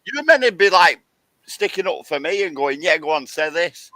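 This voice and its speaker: A man in his forties with a bright, forceful voice cheerfully teases his friend on a live stream. He swears freely, and the sound is dry and close. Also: high voice, very animated, moderate pace, no room sound, low-quality recording. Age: 40s. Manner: teasing and cheeky, swears freely. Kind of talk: casual banter.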